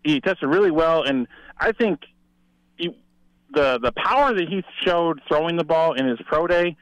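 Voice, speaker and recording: A man's voice: fairly high pitch, normal speed, a bit bright, no room sound, low-quality recording. A man giving conversational football analysis as a guest sports reporter.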